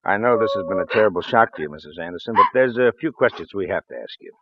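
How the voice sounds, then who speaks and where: Guy doing a low quick-talking cop, polite n official, muffled 40s radio drama. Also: expressive, heavy background noise, natural voice. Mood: apologetic, official. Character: apologetic but businesslike police investigator, adult man. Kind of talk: 1940s radio drama acting. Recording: dull lo-fi old radio recording.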